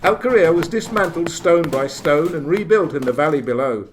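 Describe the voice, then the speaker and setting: A man's voice: fairly high pitch, animated, moderate pace, noisy background. A man narrating a comic radio play from a script in a mock-solemn manner.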